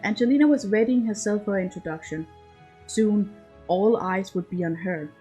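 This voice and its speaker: A woman narrates a story from a script, in the way of an amateur audiobook. Her voice has a middling pitch. Faint music plays behind the reading. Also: a little mellow, slightly rough, low-quality recording.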